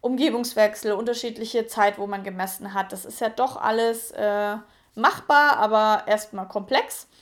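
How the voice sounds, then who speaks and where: A young woman in her late twenties talks straight to her viewers in a relaxed, confiding way. Her voice sits at an ordinary middle pitch. She speaks frankly and loosely, with plenty of fillers and small restarts. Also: normal speed. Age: 20s. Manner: chatty, frank, many fillers. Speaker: YouTuber. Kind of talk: casual personal vlog.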